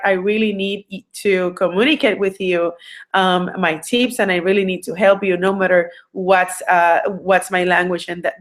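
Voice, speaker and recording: A woman from Puerto Rico with a Puerto Rican Spanish accent, Spanish her first language, giving an online recruiting training in an earnest way, with many fillers and restarts. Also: medium pitch. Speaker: recruiting coach.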